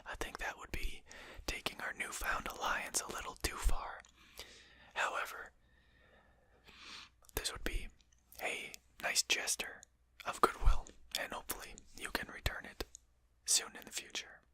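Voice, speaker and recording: Whispering, composed, condescending vampire, acted out softly. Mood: politely condescending. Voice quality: breathy unvoiced whisper. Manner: slow, articulate, formal, gently mocking. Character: composed, courteous, condescending vampire addressing a hunter. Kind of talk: whispered ASMR roleplay.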